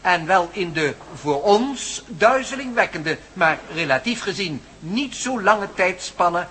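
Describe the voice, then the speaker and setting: Gedreven professor, hoge gespannen stem. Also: male voice, high voice, expressive, slow, sharp tone, a little hiss or hum, exaggerated voice. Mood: solemn, declaiming. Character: impassioned, determined male professor championing a revolutionary theory. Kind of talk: Dutch radio play acting.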